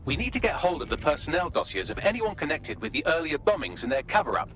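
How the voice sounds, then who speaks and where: A man with a British accent playing a brisk, dry-witted assistant who briefs operatives in a video game, articulate and matter-of-fact. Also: a little high, very animated, a bit bright, slight background noise.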